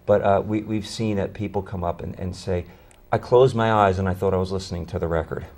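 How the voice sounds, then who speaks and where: Low voiced bass player guy, excited, keeps restarting his words. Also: fairly quick. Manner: enthusiastic, repeats words when starting. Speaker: tribute band bassist. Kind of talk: interview conversation.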